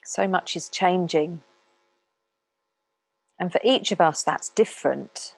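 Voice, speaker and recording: A woman speaking as a meditation teacher, calmly guiding listeners in an unhurried way with long pauses. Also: lowish voice, gravelly, dry recording. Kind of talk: guided meditation talk.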